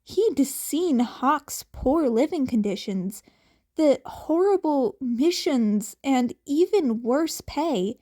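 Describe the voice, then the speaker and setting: A woman reading fiction aloud as an amateur audiobook narrator, with expressive scripted delivery. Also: high voice, slow.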